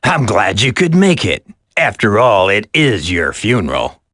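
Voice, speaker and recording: An actor playing a smug, sadistic terrorist villain in a video game, with a drawling, taunting, theatrical delivery. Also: male voice, fairly low pitch, huge pitch swings, measured pace, a bit bright, no echo, studio quality, heavily acted. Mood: mocking menace.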